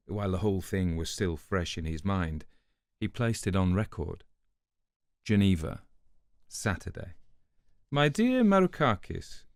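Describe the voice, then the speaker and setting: A man giving a scripted literary narration in a measured, conversational storytelling manner, as if reading a letter aloud. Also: fairly low pitch, dry recording, clean studio sound. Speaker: audiobook narrator.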